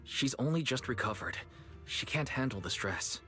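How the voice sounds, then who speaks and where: An actor playing a gentle, protective man in a video game, pleading with deference. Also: mid-range voice, expressive, moderate pace. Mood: anxious pleading. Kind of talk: dramatic video game voice acting. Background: music.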